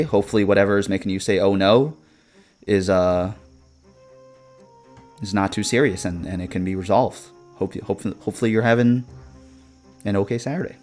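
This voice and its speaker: A man's voice: sits low, moderate pace, darkish tone, soft background music. A man in his twenties chatting casually on a live stream, reading viewer comments and rambling with lots of swearing, fillers and laughs. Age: 20s.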